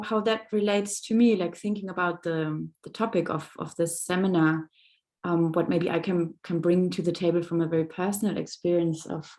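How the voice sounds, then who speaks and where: A woman from Germany, who grew up in Berlin, gives a soft-spoken, hesitant personal reflection as a panelist in an online seminar, often searching for words. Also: on the low side. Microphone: video call microphone.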